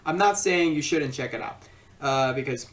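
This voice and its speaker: An amateur reviewer hosts in a casual, wandering style, circling back over phrases and tripping on the wording. The pitch moves around a lot from phrase to phrase. The voice sits some way off from the microphone. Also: lively intonation, normal speed, recorded from a distance. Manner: rambling, repeats himself, stumbles over phrasing. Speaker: film review host.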